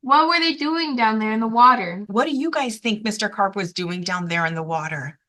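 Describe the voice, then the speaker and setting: A woman reading a story aloud to children in an expressive, emphatic way, addressing young listeners directly. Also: mid-range voice, normal speed.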